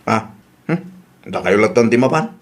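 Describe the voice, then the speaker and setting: A man's voice: lowish voice, expressive, moderate pace, close to an everyday voice. A man playing an arrogant, bitter young ex-boyfriend with an Ilocano accent in a radio comedy drama. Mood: sulky.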